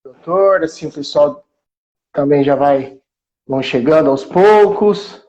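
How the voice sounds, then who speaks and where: Male live-show host, high-pitched, inland São Paulo Brazilian accent, informal and friendly chat. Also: unhurried, a little mellow, highs cut off. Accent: Brazilian, São Paulo interior. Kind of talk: casual live interview chat. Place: remote video call livestream.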